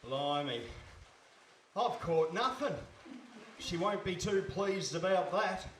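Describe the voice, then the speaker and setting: Man acting as hapless fisherman, very slow, high animated voice, storybook radio play, distant and noisy. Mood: dismayed. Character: poor, luckless fisherman, henpecked husband. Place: hall stage before a live audience. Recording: distant echoey hall recording with noise.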